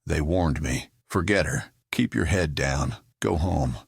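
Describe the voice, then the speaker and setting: Very deep, gravelly soldier, voiced by a man. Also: expressive, unhurried, no echo, clean studio sound, exaggerated voice. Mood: grieving, urgent. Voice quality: gravelly, husky. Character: haunted, earnest American army private pleading by letter. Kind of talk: audio drama letter monologue.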